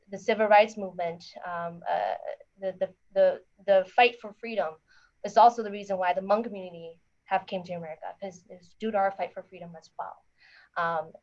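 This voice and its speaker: Woman, council member, from Minnesota, measured, lots of fillers, muffled call audio. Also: mid-range voice. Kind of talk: panel discussion.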